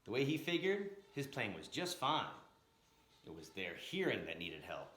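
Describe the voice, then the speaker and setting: A man reading a story aloud in an expressive, dramatic narrating style with emphatic, rhythmic phrasing. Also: lowish voice, normal speed. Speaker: storyteller reading a picture book aloud, blues educator. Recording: roomy, echoing room sound.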